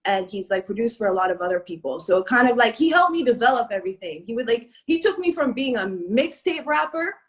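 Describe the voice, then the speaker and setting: A woman's voice: fairly high pitch, big pitch swings, quick pace, hardly any pauses. A young woman from Canada, a rapper, chatting casually in an interview and filling her talk with "like" and "um". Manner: chatty, lots of "like" and "um". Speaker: rapper guest.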